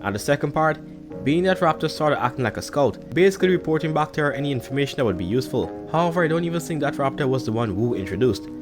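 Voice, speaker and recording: Fast-talking male commentator over music. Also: on the high side, expressive. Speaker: video commentator. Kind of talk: casual analytical fan commentary. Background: background music.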